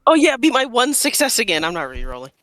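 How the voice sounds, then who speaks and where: Very high, animated woman player. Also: quick pace, no room sound, clean studio sound. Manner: expressive, blunt, swears freely. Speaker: tabletop game player. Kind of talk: casual game banter.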